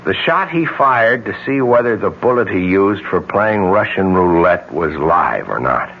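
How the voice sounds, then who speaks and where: An American actor playing a tense, determined man in a radio mystery drama, speaking deliberately with emphatic repetitions. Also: deep voice, animated. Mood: earnest, explaining. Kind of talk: old-time radio mystery drama acting. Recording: muffled, noisy vintage radio drama recording.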